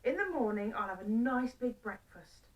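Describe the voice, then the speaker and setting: A woman's voice: fairly high pitch, animated, moderate pace, darkish tone, far from the mic, highs cut off, close to an everyday voice. Woman as a fussy little old man, exclaiming. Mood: puzzled, hopeful. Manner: exclamatory grumbling and talking to himself. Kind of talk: children's storybook character voice.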